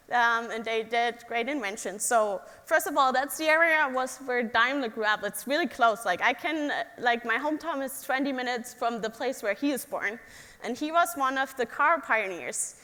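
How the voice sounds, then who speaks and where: A woman's voice: high-pitched, bright, forceful tone. A young woman from Germany with a German accent, giving a friendly talk to an audience as an engineer and often asking them questions. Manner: friendly, asks the audience questions, many ums. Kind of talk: presentation to an audience. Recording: reverberant hall.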